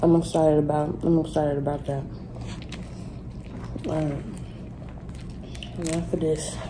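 A woman in her thirties casually talking viewers through her cooking in a home kitchen, relaxed and chatty. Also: deep voice, slow, background noise. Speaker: cooking vlogger. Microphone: phone camera on the counter.

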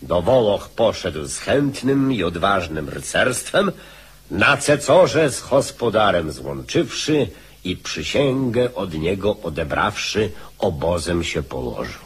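An actor playing a formal, stately chronicler in a radio drama, with measured delivery. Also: male voice, low-pitched, sing-song, husky, heavily acted. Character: formal, stately chronicler reading an official account.

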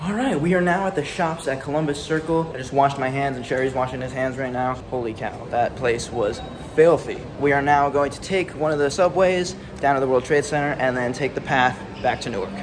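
A young man hosting an urban exploration vlog, talking casually and excitedly to a handheld camera. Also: mid-range voice, a little fast, few pauses, over loud music. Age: young adult. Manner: excited, casual, swears freely. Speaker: vlog host. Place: outdoors in city streets, subway and tunnels. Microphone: handheld camera at arm's length.

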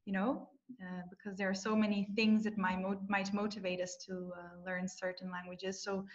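A woman's voice: mid-range voice, little pitch movement, moderate pace. A woman moderating a webinar Q&A on a video call, speaking with frequent uhs and ums. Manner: many hesitations, uh and um. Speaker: webinar host. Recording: dull video call audio.

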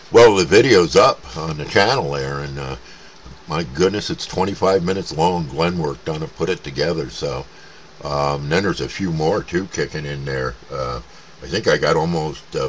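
An American man chatting casually as a CB radio operator, using radio jargon. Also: a bass voice. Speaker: CB radio operator and channel host. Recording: faint background noise.

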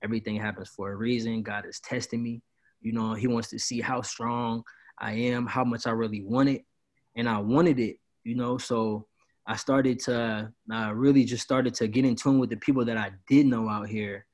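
A man's voice: mid-range voice, fairly even intonation, normal speed, a little mellow. A man in his twenties from Illinois, a party promoter chatting loosely on a video call, rambling and often saying "bro". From Peoria, Illinois. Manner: laid-back, rambling, says "bro" often. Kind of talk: casual interview. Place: indoors, small room at home.

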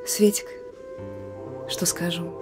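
A woman playing a young female partisan sentry in a radio drama, blunt and colloquial, gruff yet dreamy. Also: slow, over loud music, close to an everyday voice. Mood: dreamy, yearning. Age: young adult. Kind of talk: Russian radio drama acting.

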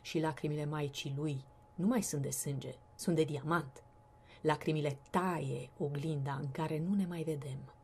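A woman with a breathy, husky voice giving an expressive recitation of poetry. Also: low-pitched, a little hiss or hum. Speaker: poetry reader.

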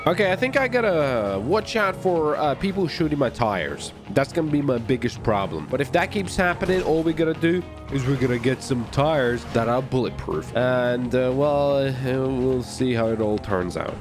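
A man hosting a gaming video, giving excited, playful and boastful commentary full of exclamations. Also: mid-range voice, moderate pace, hardly any pauses. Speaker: gaming video host and player. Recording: music behind the voice. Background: music.

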